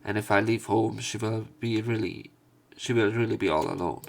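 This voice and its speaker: A man's voice: fairly low pitch, moderate pace, creaky. A man reading visual novel story text aloud as a let's play narrator, with some commentary. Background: game music.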